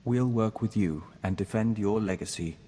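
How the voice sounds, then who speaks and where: A man voicing a gentle, devoted diplomat of an insect hive mind, formal and measured, in a video game. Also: lowish voice, breathy edge. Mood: sincere, grateful. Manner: formal, measured, speaks of himself as we. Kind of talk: scripted video game dialogue acting. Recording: long reverb, noisy game ambience.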